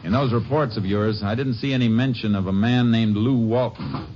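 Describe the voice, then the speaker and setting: A very low-voiced, businesslike Texas Ranger played by a male actor who stays terse and courteous as he investigates. The sound is a muffled old radio drama with some hiss. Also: normal speed, a little mellow, natural voice. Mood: probing. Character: calm, dogged Texas Ranger investigator, a man. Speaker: lead actor, narrator. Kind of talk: 1950s radio drama acting. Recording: dull, hissy old radio recording.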